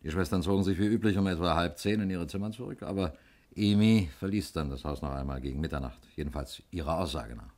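Actor as a very deep-voiced, wry investigator. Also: male voice, fast talker, darkish tone, lightly acted. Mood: matter-of-fact. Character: male police investigator, dry, wry and matter-of-fact. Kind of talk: 1960s German radio play acting.

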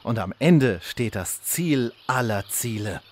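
A man's voice: mid-range voice, lively intonation, slow, darkish tone. A man giving theatrical scripted narration as the narrator of a parody audio drama.

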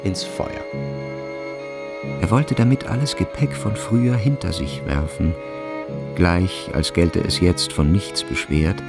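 A man narrating a literary radio drama in scripted, measured and expressive storytelling. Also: deep voice, vocal fry, over loud music.

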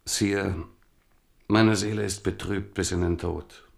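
Creaky, melancholy old comrade. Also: male voice, deep voice, steady tone, slow, full character voice. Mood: mournful. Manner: earnest, solemn, poetic. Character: king's old Huguenot companion, male, melancholy, reproachful poet. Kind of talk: German radio drama acting.

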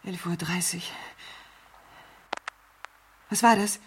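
A woman's voice: fairly low pitch, fairly even intonation, slow pace, slight background noise. An actress playing an anxious, demanding rich wife who fears for her life in a radio thriller, stammering and pleading. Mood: terrified. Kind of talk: 1970s German radio thriller acting.

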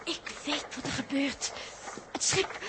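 An anxious astronaut. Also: female voice, sits high, measured pace. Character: anxious young woman astronaut, Els. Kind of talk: 1960s radio drama acting. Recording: noisy old radio tape.

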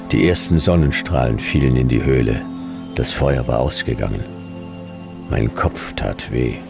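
A laconic private eye narrating a science-fiction radio play. The voice is extremely low and gravelly, delivered slowly in clipped deadpan phrases. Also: male voice, heavily acted. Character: laconic, hard-boiled male detective narrator in sci-fi desert. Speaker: narrator and lead actor. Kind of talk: noir first-person radio drama narration.

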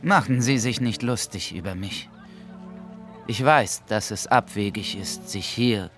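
An actor playing a melancholy, romantic Congolese gentleman in a radio play, speaking deliberately and reflectively with dramatic pauses. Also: on the low side. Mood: grave.

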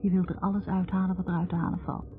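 Actress as wounded young woman, low voice, bitter halting confession, accusing, radio play, tinny narrow-band old recording. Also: little pitch movement, over music, close to an everyday voice. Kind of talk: 1960s Dutch radio drama monologue.

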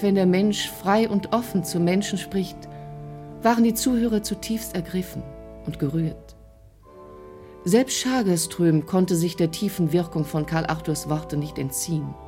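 A woman's voice: fairly low pitch, mellow. A woman reading scripted literary narration as the narrator of an audio drama.

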